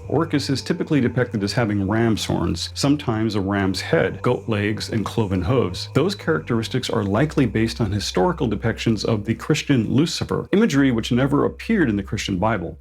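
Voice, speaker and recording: A man giving a scripted, explanatory narration as a lore channel host, in a measured, deliberate way with emphatic pauses on lists. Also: lowish voice, darkish tone.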